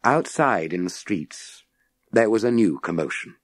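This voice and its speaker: A man's voice: fairly low pitch, lively intonation, measured pace, no room sound, clean studio sound. A man reading a story as an audiobook narrator in a dramatic, scripted style.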